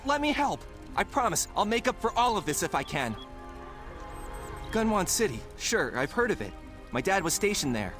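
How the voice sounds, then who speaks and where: An actor playing an eager, insecure young guard leader in a video game, earnest and anxious to help. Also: male voice, sits high, sing-song, moderate pace, over music. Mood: helpful, urgent. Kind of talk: dramatic game cutscene acting.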